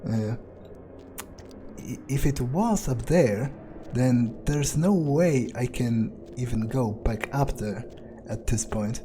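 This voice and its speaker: A man playing a weary, foul-mouthed vampire who thinks aloud in a halting, musing monologue, as in a game playthrough. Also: medium pitch, expressive, background noise. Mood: grumbling, musing. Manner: halting, musing, casually profane. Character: weary, foul-mouthed male vampire muttering his thoughts. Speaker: gaming video creator playing in character.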